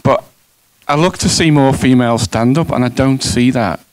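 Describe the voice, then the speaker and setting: A man's voice: medium pitch, moderate pace, dry recording, low-quality recording. A man in the audience, a local comedy promoter, asking an earnest question with a few stumbles.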